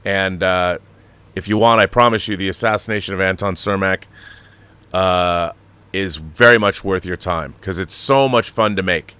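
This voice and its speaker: A man with a fairly deep voice and a bright, punchy edge hosts a casual online show. He speaks effusively and with enthusiasm, in a dry, close-miked sound. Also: normal speed, clean tone, no echo. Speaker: show host and producer. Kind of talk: casual chat on a livestream show.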